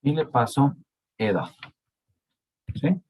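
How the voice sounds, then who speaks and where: Slow-paced Ecuadorian Spanish lecturer. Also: male voice, mid-range voice, very animated, a little mellow, breathy edge. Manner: slow, checks in with frequent "¿sí?" and "¿de acuerdo?". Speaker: university lecturer. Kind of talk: live online coding lesson.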